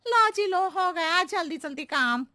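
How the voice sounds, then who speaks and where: A giddy, riddle-spinning cartoon housemaid, voiced by a woman, cheeky and pleading by turns. Her voice sits extremely high and sharp, recorded dry and close on a studio mic. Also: expressive, measured pace, dry recording, heavily acted. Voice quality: very high, shrill and bright. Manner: roundabout, playful, cheeky pleading. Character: scatterbrained chatty adult housemaid woman who talks in riddles. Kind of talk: cartoon story dubbing.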